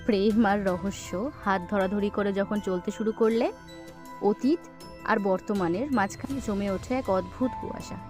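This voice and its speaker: A woman narrating a scripted suspense story in a dramatic way. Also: medium pitch.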